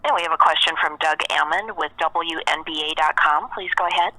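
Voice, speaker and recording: A woman operator makes formal, scripted announcements on a telephone conference call. Her voice is very bright, with noticeable fry. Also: deep voice, a little fast, rough recording.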